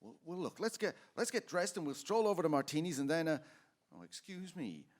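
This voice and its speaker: A man's voice: a little high, sing-song, fairly quick. An actor playing a bewildered, exasperated family man in an old-time radio drama, stammering and urgent. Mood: chummy, tipsy. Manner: stammering, urgent, swinging from mutters to outbursts.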